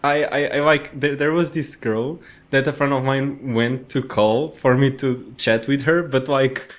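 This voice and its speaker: A man's voice: mid-range voice, steady tone, a little slow. A young man chatting casually on a podcast, telling joking, self-mocking stories.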